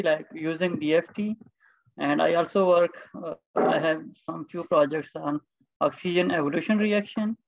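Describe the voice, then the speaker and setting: A man's voice: high-pitched, no echo, dull audio. Hesitant man from Pakistan on a video call. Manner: hesitant, many restarts. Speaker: PhD candidate introducing himself. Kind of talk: online meeting introduction.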